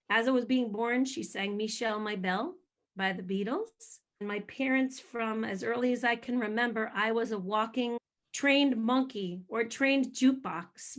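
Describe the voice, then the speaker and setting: Woman singer, high-ish voice, lively hyper storyteller, interview guest. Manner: animated storytelling, self-described hyper. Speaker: singer interviewed about faith. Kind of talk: casual interview answers.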